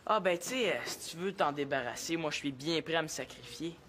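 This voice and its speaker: A wheedling young bargain hunter. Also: male voice, a little high, fairly quick, bright, forceful tone, a little hiss or hum. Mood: joking. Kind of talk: sitcom acting.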